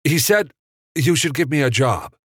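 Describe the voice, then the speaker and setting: A man's voice: fairly high pitch, lively intonation, moderate pace, breathy edge, no echo, clean studio sound, pitched above the performer's own voice. A man voicing a polite, plucky young job seeker in an audiobook, deferential yet gutsy. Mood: hesitant.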